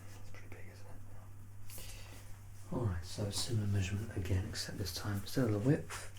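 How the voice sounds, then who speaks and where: An ASMR performer speaking in a soft, unhurried murmur that slips into breathy whispers, reassuring and gently playful in a personal-attention roleplay. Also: sits low, low-quality recording. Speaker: ASMR performer playing a measurer.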